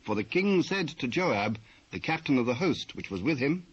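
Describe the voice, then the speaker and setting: A man with a British accent narrating dramatised scripture in a measured, formal manner. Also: medium pitch, very animated, husky. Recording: muffled old cassette transfer.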